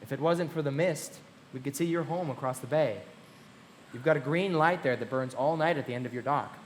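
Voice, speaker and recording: A man acting in a live radio play as a wealthy, lovestruck young gentleman who is anxious and boastful, speaking in a genteel theatrical way. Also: medium pitch, brisk, slight background noise, lightly acted. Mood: proud, genteel.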